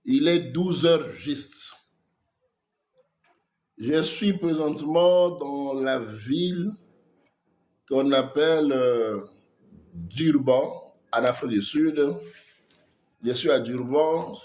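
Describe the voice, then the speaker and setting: Man, pastor, Congolese French accent, stuffy head cold, very slow delivery, livestream preaching. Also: medium pitch, a little mellow. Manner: slow, greets viewers by name. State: has a cold, coughing. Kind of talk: livestream sermon and greetings.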